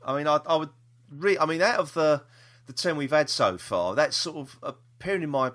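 A man's voice: a little high, animated, normal speed, a bit bright. A man chatting casually as a podcast co-host, enthusiastic and repeating himself for emphasis. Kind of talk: casual review chat about audio dramas.

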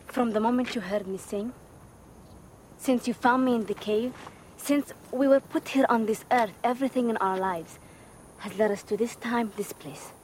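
A woman's voice: fairly high pitch, moderate pace, a little mellow, natural voice. A woman playing an earnest, hopeful teenage Afghan girl singer in a film scene, with ambient noise behind her. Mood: solemn, pleading. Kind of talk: film dialogue. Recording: film clip with noisy outdoor ambience.

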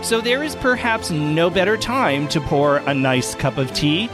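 A man hosting a podcast, speaking in an effusive, formal way with theatrical phrasing. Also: on the high side. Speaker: podcast host. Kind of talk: warm-up narration and interview. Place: club library for the talk.

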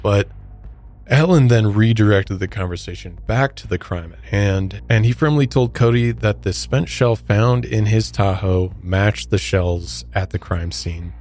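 A man with a deep voice hosts a true crime podcast. He narrates in a conversational style, as if talking a case through. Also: measured pace, darkish tone, faint music behind. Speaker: podcast host.

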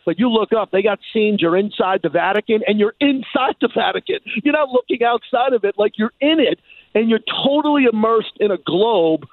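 A man with a notably high voice talks sports in an emphatic, animated way, hammering points home by repeating them. He is from New York and sounds like a radio guest. The sound is thin and narrow, like a phone line, with a dry, close quality. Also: a little fast, dry recording. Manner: emphatic, repeats phrases for effect. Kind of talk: animated sports talk interview.

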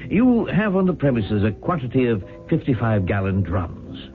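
An actor in a 1970s radio drama playing a genial, smug professor who plots sabotage in a pedantic, chuckling, theatrical manner. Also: male voice, medium pitch, huge pitch swings, moderate pace. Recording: phone-like vintage radio recording, faint music.

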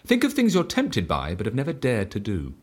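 Coolly persuasive eccentric man, lively delivery. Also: lowish voice, brisk, husky, exaggerated voice. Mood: coaxing. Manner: probing, clipped questions and assured pronouncements. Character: eccentric, self-assured male devotee of random chance, coolly persuasive. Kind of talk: audio drama dialogue.

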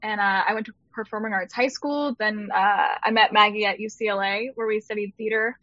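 A woman's voice: medium pitch, brisk, dry recording. A woman from New Jersey, an actress and producer guest, talking casually and playfully with many fillers. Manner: relaxed, playful, filler-heavy. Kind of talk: casual interview chat. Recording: dull, lo-fi audio.

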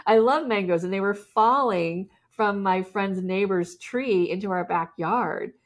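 A woman's voice: medium pitch, measured pace. A woman guest candidly telling her life story in a casual interview, often laughing as she talks.

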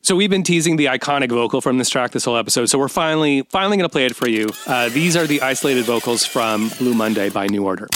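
A man hosting a music podcast in casual, animated and slangy conversation, with a playful way of explaining things. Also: mid-range voice, fast, few pauses, slight background noise.

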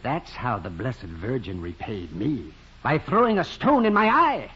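An actor playing a bitter, excitable half-blind stonecutter in an old radio drama, theatrical and exclamatory. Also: male voice, medium pitch, measured pace, breathy edge. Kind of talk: 1940s radio drama acting. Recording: muffled old radio, crowd behind.

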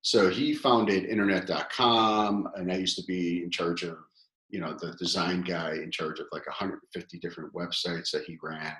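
Man, fifties, very low voice, chatty storyteller full of fillers; echoey room. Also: normal speed. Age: 50s. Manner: animated, rambling storyteller with many fillers. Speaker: guest, toy company founder. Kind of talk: casual interview storytelling.

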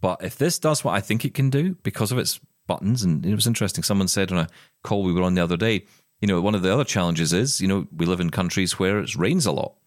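Guy with a really low voice just chatting on a podcast, keeps restarting his sentences, dry sound. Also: fast, no room sound. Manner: rambling, repeats words when starting sentences. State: blind, describing progressive vision loss. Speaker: podcast co-host. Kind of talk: casual chat.

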